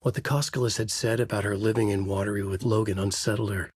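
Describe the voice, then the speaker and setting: A haunted novelist narrating a horror video game. His voice is husky and sits fairly low, recorded dry and close. He delivers hard-boiled prose gravely and deliberately, brooding over every line. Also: fairly even intonation, no echo. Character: troubled male novelist narrating his dark horror story.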